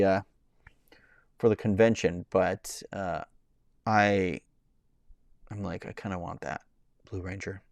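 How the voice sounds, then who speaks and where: A man in his thirties hosting a casual live stream from home, rambling with lots of fillers and restarts. He describes his own voice as high-pitched. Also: darkish tone, dry recording, studio quality. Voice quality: says he has a high-pitched voice.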